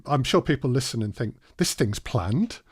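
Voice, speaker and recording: Male co-host, conversational interviewer, mid-pitched, hesitant phrasing with tag questions, dry close sound. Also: lively intonation, normal speed, slightly rough, dry recording. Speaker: workshop co-host prompting with questions.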